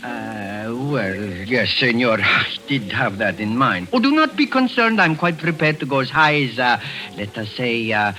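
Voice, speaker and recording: An actor playing a smooth, overly polite foreign buyer in a 1940s radio drama, speaking formally and hesitantly. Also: male voice, medium pitch, lively intonation, moderate pace, bright, forceful tone, faint music behind. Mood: oily, courteous. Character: smooth, ingratiating foreign buyer, middle-aged man.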